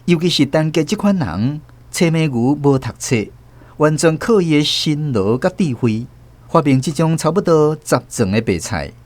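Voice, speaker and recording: A man from Taiwan, host and narrator of a radio drama, reading the story in a measured, expressive way. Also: on the high side.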